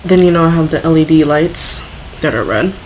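A woman's voice: sits low, expressive, brisk, a little mellow, a little hiss or hum, low-quality recording. A woman giving casual, offhand reaction commentary and reading text aloud as a video host.

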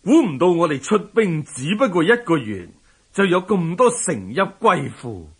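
An actor playing a stern, hearty Mongol general-prince in a historical radio drama, giving orders with weighty authority. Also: male voice, high voice, big pitch swings, a little slow, slightly rough, full character voice. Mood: gloating, delighted.

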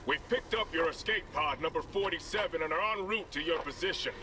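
A man's voice: fairly high pitch, a little fast, natural voice. An actor playing a formal male military commander broadcasting a message from a rescue ship. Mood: official. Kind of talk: formal radio announcement, game acting. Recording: distant noisy radio transmission.

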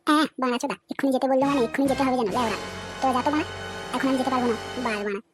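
A woman's voice: sits high, racing, lightly acted. A woman voicing a cheeky, foul-mouthed young village man in a cartoon, brash and swearing often. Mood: irritated. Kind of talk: cartoon voice acting. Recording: loud background music.

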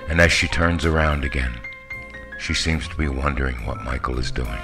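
A man's voice: a bass voice, moderate pace, music in the background. A man reading a literary story aloud as an audiobook narrator, in scripted narration. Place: voice-over with music behind.

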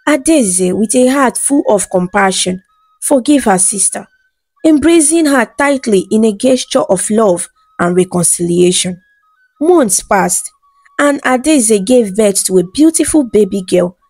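A woman narrating a scripted folktale in a measured, dramatic storytelling manner. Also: mid-range voice, expressive, darkish tone, slightly rough, dry recording, soft background music.

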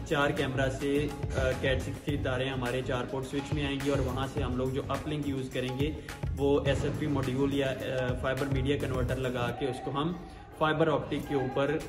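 A young man hosting a technical walkthrough, explaining a security installation step by step in a large empty indoor building, with frequent hesitation fillers. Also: medium pitch. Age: young adult. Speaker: security installer and channel host. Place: large empty mall interior under construction, indoors. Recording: roomy echo from far off, music behind.

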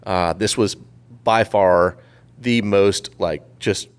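A man hosting a podcast in casual chat, speaking informally and haltingly, often repeating phrases. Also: fairly low pitch, clean tone. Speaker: podcast host.

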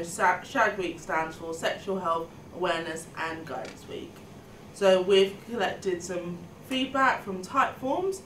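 A woman's voice: on the low side, a bit bright. A woman in her twenties hosting a panel podcast in a semi-scripted, conversational way. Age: 20s. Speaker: podcast host, student union welfare officer. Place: meeting room indoors. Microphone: table microphone, a little distant. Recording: echoey room, distant mic, background noise.

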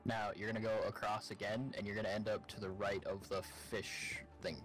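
Male gamer, lowish voice, casual and instructive, talking over faint background music. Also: level tone, brisk, low-quality recording. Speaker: gamer, co-op partner giving directions. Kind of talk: casual gameplay chat.

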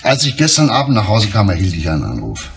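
An actor playing a composed, earnest police inspector in a German crime radio drama, speaking deliberately and insistently. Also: male voice, on the low side, wildly swooping pitch, creaky, noisy background, exaggerated voice. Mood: controlled, defensive.

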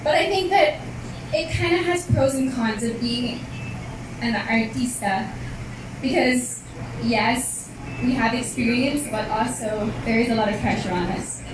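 A young woman with a slightly high voice gives thoughtful answers to the press. She sounds set back from the recorder, and the hall throws a heavy echo around her words, over steady room noise. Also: a little slow, recorded from a distance. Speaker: pageant candidate. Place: large event hall indoors. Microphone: handheld mic. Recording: echoey hall heard from afar.

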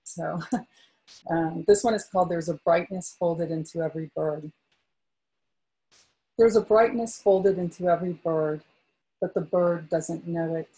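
Woman, poet reading aloud, slow unhurried delivery, husky voice. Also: fairly low pitch, rough recording. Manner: slow, soft-spoken, with gentle fillers between readings. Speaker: poet at a book launch. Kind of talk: poetry reading with casual asides.

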